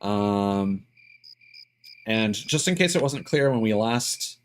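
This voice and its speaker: A man giving casual live gameplay commentary, explaining details in a halting way and thinking aloud with asides. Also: lowish voice, animated, slight background noise, poor audio. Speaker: game streamer.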